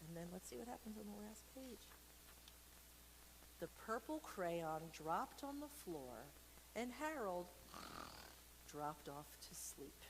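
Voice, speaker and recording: A woman hosting a casual read-aloud for kids, playful and expressive, talking straight to viewers with informal, encouraging asides. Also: mid-range voice, takes it slowly, pauses often, long reverb tail, background noise. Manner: playful, expressive, with silly sound effects and asides. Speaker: storyteller and church program host.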